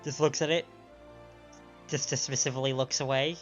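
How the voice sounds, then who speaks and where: A man reads game dialogue aloud and comments on it as a livestream host. His pitch is middling and his reading is measured, with the odd self-correction. The audio is a little dull and lo-fi, with clear game music underneath. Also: steady tone.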